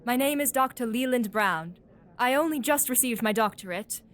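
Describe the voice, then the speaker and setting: Eager, high-voiced young woman doctor. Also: animated, normal speed, fairly bright tone. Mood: proudly chatty. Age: young adult. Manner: chatty, gushing, proper. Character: eager, gossipy young doctor at a scientific institute. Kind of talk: audio drama acting.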